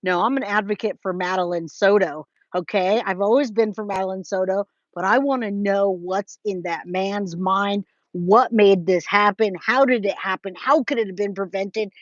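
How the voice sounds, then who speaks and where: A woman's voice: mid-range voice, no room sound. American woman in dull, lo-fi livestream audio. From California, United States. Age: 40s. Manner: informal, addresses viewers as guys, babe, my love. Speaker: true crime livestream host. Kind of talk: casual livestream chat, replying to viewers' comments.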